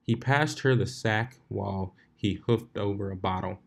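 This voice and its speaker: Male fan fiction narrator, somewhat low voice, reading scripted story prose. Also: a little slow, vocal fry.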